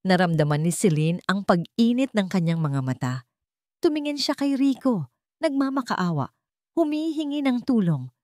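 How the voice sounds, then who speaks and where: A woman's voice: mid-range voice, very animated, normal speed, a little mellow, no echo, studio quality. A woman reading a scripted dramatic story as its narrator.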